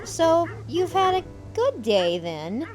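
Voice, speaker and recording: A woman's voice: extremely high pitch, wildly swooping pitch, takes it slowly, heavily acted. A woman playing a railway owner's caring, steadfast wife in an audio drama, speaking firmly and encouragingly. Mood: puzzled. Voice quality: firm and encouraging.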